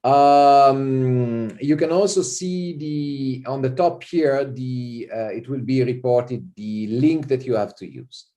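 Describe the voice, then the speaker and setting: A man's voice: mid-range voice, slow. Italian-accented man lecturing over video call. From Italy. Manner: relaxed, with frequent fillers and repeated "okay". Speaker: visiting professor. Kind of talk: online university lecture. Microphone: computer mic on a video call.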